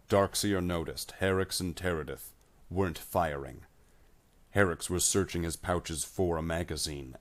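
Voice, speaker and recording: A male storyteller reads dramatic fiction in a very deep voice. His delivery is slow and measured, with deliberate phrasing throughout. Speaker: narrator. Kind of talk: scripted dramatic story narration.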